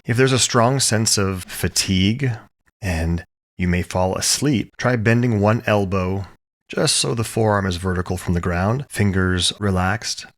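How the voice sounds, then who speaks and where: A man leading a guided relaxation as a yoga teacher, speaking calmly and soothingly in short, measured phrases with pauses. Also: sits low. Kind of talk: guided meditation, gentle instruction.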